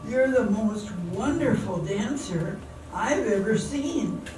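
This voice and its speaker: A woman's voice: on the high side, takes it slowly. A woman playing a naive, eager but self-doubting young small-town dancer in a theatrical amateur radio drama, hesitant and wide-eyed. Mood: wistful, admiring. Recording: very echoey hall, distant mic, background noise.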